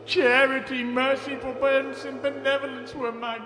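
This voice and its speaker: Wailing ghost in cavernous reverb. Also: male voice, extremely high pitch, flat delivery, pushed and bright. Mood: anguished. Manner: slow, declamatory, wailing, drawn-out delivery. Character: tormented male ghost of a dead miser, mournful and ominous. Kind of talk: radio-style stage audio drama acting. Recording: reverberant echoing voice over faint music.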